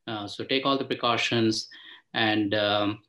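Man doctor on a zoom call, lowish voice, earnest, lots of ums. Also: steady tone, unhurried, bright, forceful tone. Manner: earnest, advising, many fillers. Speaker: physician panelist. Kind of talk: panel discussion. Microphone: video call.

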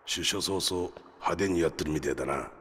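An actor playing a shrewd older underworld information broker in a game cutscene, with sly, rough, expansive gangster talk. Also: male voice, deep voice, fairly quick, a bit bright, a little hiss or hum. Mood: sly, self-assured. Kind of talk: video game cutscene acting. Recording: music behind the voice.

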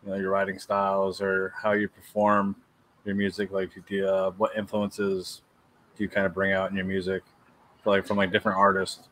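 A man co-hosts an interview, his low voice held flat but bright and edgy in tone. He talks loosely, leaning on fillers and tags, over a webcam with faint noise behind. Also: moderate pace. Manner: loose and filler-heavy, keeps asking "you know what I'm saying". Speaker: co-host interviewer. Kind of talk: casual interview chat. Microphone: webcam on a video call.

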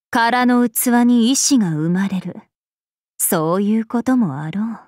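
Slow, imperious princess spirit. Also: female voice, mid-range voice, a little mellow, dry recording, studio quality. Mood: musing, aloof. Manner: imperious, archaic, composed, aloof. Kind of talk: Japanese mobile game character voice lines.

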